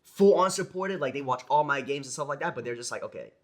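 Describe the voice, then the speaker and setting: A young American man, a pro gamer, chatting casually in an interview, relaxed and rambling with lots of "like" and "you know". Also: medium pitch, quick pace. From United States. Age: young adult. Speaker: esports player guest.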